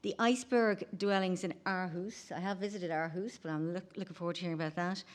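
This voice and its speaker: A woman's voice: lowish voice, fairly even intonation, fast. A woman moderating a conference session, thanking one speaker and introducing the next in a loose, chatty way. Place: conference room.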